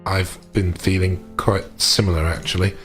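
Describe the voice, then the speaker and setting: A man playing a reserved, polite gentleman investigator in a tabletop roleplay, speaking formally and hesitantly. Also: low voice, little pitch movement, faint music behind. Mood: uneasy, puzzled. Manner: hesitant, measured, formal period phrasing.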